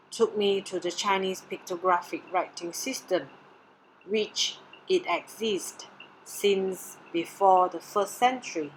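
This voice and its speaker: A woman whose first language is Mandarin, reading her art research presentation in a measured, deliberate way. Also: medium pitch, fairly bright tone, a little hiss or hum, low-quality recording. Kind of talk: read-aloud research presentation.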